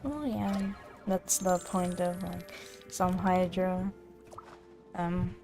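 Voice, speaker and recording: A woman's voice: lowish voice, little pitch movement, drawn-out words, over music. A woman chatting casually and in a giggly, rambling way while streaming a video game. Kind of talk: casual gameplay chat.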